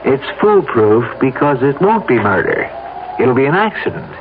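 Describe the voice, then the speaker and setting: Through a narrow, hissy radio band comes an actor's rendition of a scheming American male official. He talks in a theatrical, persuasive way at a middling pitch, always pushing his plan. It sounds like a vintage mystery broadcast. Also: very animated, moderate pace, close to an everyday voice. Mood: confident scheming. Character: scheming city official pushing a murder plot, persuasive, insistent. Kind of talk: 1970s American radio drama acting. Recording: noisy, tinny old radio broadcast.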